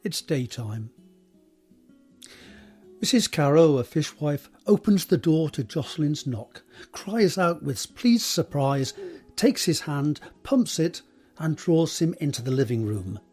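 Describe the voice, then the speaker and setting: A man narrating scripted stage directions for an audio drama in a measured, descriptive reading. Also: a little high, sing-song, darkish tone, slightly rough, soft background music.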